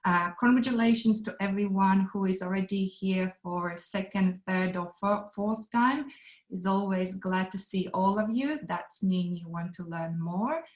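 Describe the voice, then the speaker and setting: A woman's voice: mid-range voice, measured pace. Woman from Latvia presenting in an echoey room. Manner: non-native phrasing, earnest. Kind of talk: webinar presentation.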